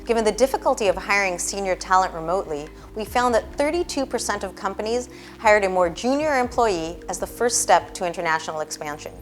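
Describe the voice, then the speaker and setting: A woman's voice: mid-range voice, animated, a little fast, few pauses. A woman giving informative, scripted findings. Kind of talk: scripted insight delivery. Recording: faint music underneath.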